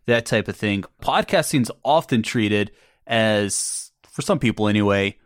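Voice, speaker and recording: Measured male podcast host. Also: on the low side, animated, no room sound, studio quality. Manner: measured, thoughtful, with small restarts. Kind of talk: interview questions.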